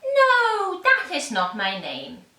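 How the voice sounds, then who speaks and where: Lady doing a gleeful little goblin-like storybook man, loud and taunting, swoopy high voice, echoey. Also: huge pitch swings, slow pace, full character voice. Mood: gloating. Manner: taunting, emphatic, singsong questions and refusals. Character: demanding, gleeful little mannikin man of fairy tale. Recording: echoey room, distant microphone.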